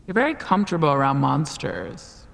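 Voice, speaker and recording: Cryptic lady monster, low and croaky, cool and terse, horror audio drama, big reverb. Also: huge pitch swings, normal speed, full character voice. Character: female monster of deviance, cryptic, coolly unhelpful guide. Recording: long cavernous reverb, faint rumbling noise.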